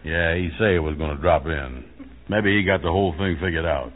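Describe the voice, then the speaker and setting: An actor playing a gullible, lovelorn man after money, with slow, folksy, ungrammatical talk, in old-time radio comedy style. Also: low voice, close to an everyday voice. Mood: rueful. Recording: muffled 1940s-50s radio recording.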